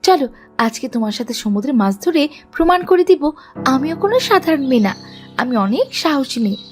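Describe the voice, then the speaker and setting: A woman's voice: sits high, big pitch swings, quick pace, faint music behind. A woman voicing a bold, stubborn young village woman in a fairy-tale cartoon, speaking with defiant emphasis. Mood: indignant, proud.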